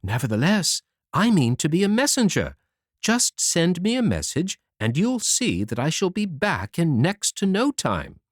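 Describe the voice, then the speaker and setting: A man's voice: fairly high pitch, wildly swooping pitch, measured pace, no echo, studio quality, voice pushed up, heavily acted. A man voicing a tiny, clever, self-assured boy in a children's fairy tale, eager and confident. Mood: determined. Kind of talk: storybook character voice.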